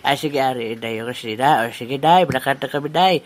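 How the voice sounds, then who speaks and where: A man's voice: medium pitch, fairly quick, close to an everyday voice. A man with a Western Visayan Filipino accent playing a teasing, chuckling buddy in a comic audio drama. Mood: casual, placating. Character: teasing, chuckling male drinking buddy in a comedy. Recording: noisy recording with clicks, rooster crowing.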